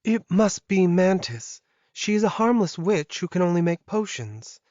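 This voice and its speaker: A man's voice: high voice, big pitch swings, unhurried, a little mellow, no echo, dull audio, pitched above the performer's own voice. A man voicing an evil young werewolf woman in an audiobook, pleading and emotional while she schemes. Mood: helpful, anxious. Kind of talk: audiobook character acting.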